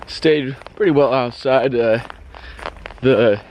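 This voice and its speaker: A hoarse man vlogging casually while hiking, rambling and halting, with frequent laughs. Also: medium pitch, darkish tone, slight background noise, low-quality recording. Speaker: hiker vlogger. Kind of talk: casual trail vlog. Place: outdoors on a rural trail.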